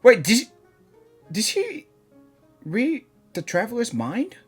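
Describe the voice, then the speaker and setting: A woman gives casual, off-the-cuff reaction commentary as a game streamer. Her pitch moves around a lot, and faint game music plays behind her. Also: huge pitch swings, takes it slowly, husky.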